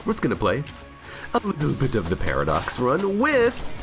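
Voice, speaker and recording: A man's voice: medium pitch, wildly swooping pitch, moderate pace. Chatty man with a cold. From United States. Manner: rambling, chatty, self-mocking humor. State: has a cold, voice a bit worn. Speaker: game streamer. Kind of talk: casual live gameplay commentary. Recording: game music loud under voice. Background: game music.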